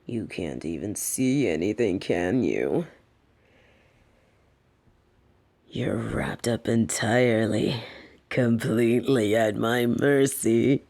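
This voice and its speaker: A woman voicing a soft but dominant lamia captor in an intimate audio roleplay, breathy and often whispering, condescending and possessive. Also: full character voice. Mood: soothing, possessive. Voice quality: breathy, often dropping into whispers and creaky low tones. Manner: slow, teasing, patronizing.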